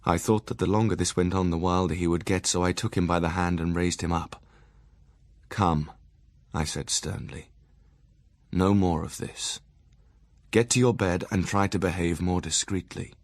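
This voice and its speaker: An actor playing a stern, measured asylum doctor narrating his diary in an audio drama. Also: sits low, steady tone, no echo, clean studio sound.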